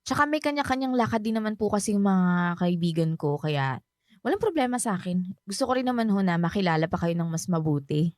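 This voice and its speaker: A woman's voice: mid-range voice, lively intonation, brisk, no echo, clean studio sound, natural voice. An actress playing a cheerful, polite young Filipino woman in a radio drama, chatty and respectful toward an older family friend. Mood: chatty, open. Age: young adult.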